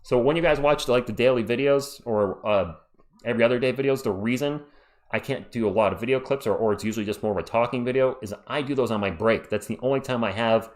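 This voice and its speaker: A man's voice: medium pitch, fast talker. A man hosting a live sports stream, chatting casually and reading viewer comments aloud, his voice worn out.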